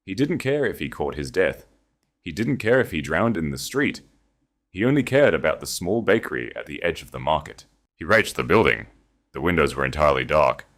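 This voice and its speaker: A man narrating a dramatic romance audiobook in a measured, storytelling manner. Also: extremely low pitch, very animated, a bit bright.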